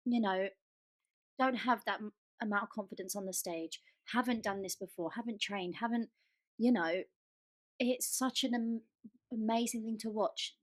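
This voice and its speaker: A woman's voice: a little high, moderate pace, no echo. A woman co-hosting a podcast in casual chat, gushing and emphatic, often repeating herself for stress. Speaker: podcast co-host.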